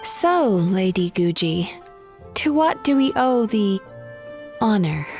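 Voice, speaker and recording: Young therapist, mid-pitched, composed, considerate, polite, wry, video game, soft music behind. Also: female voice, sing-song. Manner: measured, polite, reassuring.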